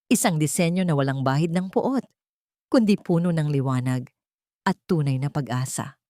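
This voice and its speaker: A woman with a Filipino accent narrating a scripted dramatic audiobook story in a solemn, measured, reflective way. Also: deep voice, warm tone, no room sound, studio quality.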